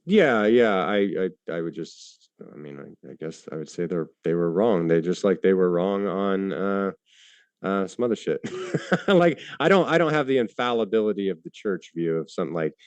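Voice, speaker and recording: A low-pitched man speaking in a debate, loose and informal. He stumbles through answers with frequent fillers and restarts and swears offhandedly. The recording is dry and close. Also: lively intonation, normal speed, clear, resonant tone, dry recording. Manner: hesitant, many fillers and restarts, casual swearing. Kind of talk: live debate.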